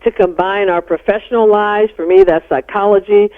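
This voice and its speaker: A woman's voice: mid-range voice, fairly even intonation, moderate pace. A middle-aged American woman explaining things as a psychologist guest in a radio interview. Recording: narrow-band phone-like radio sound.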